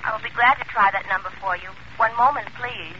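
A woman's voice: a little high, brisk, pushed and bright. An actress playing a polite, impersonal telephone switchboard operator in a 1940s radio drama. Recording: noisy, tinny old radio telephone sound.

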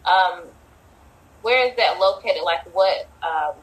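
A woman hosts a podcast interview in a husky, slightly low voice. She rambles through her questions and leans on fillers, sounding unhurried and informal. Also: poor audio. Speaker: podcast host.

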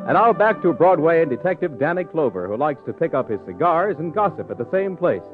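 A man's voice: on the high side, sing-song, brisk, over music. A man announcing in the scripted style of 1950s network radio. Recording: tinny vintage radio broadcast.

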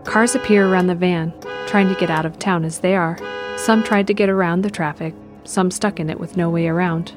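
A woman with a fairly low voice narrates a scripted audio drama. Her delivery is measured and descriptive, and faint music plays behind her. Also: fairly even intonation.

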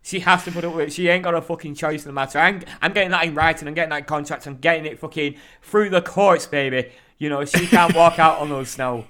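Bright-voiced sweary man. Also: a little high, quick pace, few pauses. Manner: sweary, animated, rambling. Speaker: podcast co-host. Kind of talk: casual chat.

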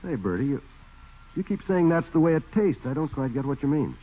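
A man working as a commercial announcer, delivering a 1940s radio sponsor pitch. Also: sing-song, a little fast. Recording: tinny 1940s radio recording.

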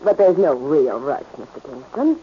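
Poised gambling-house boss, lively pitch. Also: female voice, normal speed. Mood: smoothly gracious. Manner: smooth, polite, commanding. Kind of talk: 1940s radio acting. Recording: dull, noisy old radio recording.

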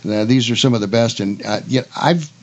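A man's voice: mid-range voice, a little fast. A man co-hosting a casual radio chat show in a folksy, jokey way.

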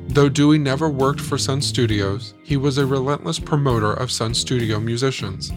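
A man reading scripted podcast narration as the host, close to the microphone. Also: medium pitch. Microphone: close studio microphone. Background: music.

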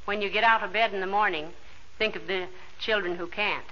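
A woman's voice: medium pitch, fairly even intonation, a little fast. A woman reading a scripted charity appeal on a 1940s radio show. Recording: muffled, faintly noisy old radio recording.

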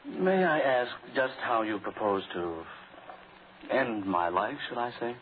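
An actor playing a dry, world-weary radio actor in a 1940s radio drama. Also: male voice, mid-range voice, big pitch swings, measured pace. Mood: wry. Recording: muffled, noisy 1940s radio recording.

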